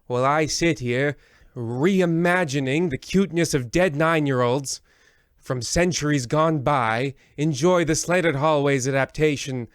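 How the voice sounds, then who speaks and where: A man acts out a pompous horror-anthology host for a comic radio play. He speaks slowly and theatrically with mock-sinister menace, in a close, dry recording. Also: fairly high pitch, smooth, dry recording, close to an everyday voice. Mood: dryly droll. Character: creepy, pompous, insulting male horror-show host. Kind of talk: parody of old-time radio horror hosting.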